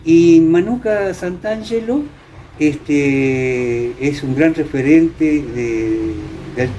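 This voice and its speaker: An older man with an Argentine accent from Entre Ríos, reminiscing in a conversational interview, haltingly searching for words and repeating himself. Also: a little high, warm tone, a little hiss or hum. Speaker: interviewee, former radio theatre actor.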